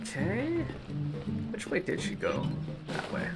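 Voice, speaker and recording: A man's voice: high voice, huge pitch swings, unhurried. A man doing casual playthrough commentary as a gaming streamer, with murmured asides and reactions. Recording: loud game music behind. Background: video game music.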